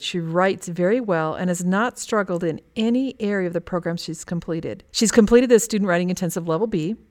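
Lower-pitched American woman hosting a podcast. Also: a little mellow. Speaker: podcast host reading listener questions.

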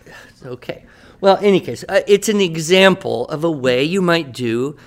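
A man's voice: a little high, normal speed. Upbeat, encouraging male teacher. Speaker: writing teacher. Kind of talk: scripted classroom radio drama.

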